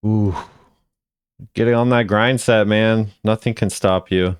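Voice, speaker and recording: A man's voice: fairly low pitch, fairly even intonation, slow, smooth, no room sound, clean studio sound. A man hosting a gaming video, giving casual commentary with informal, musing reactions.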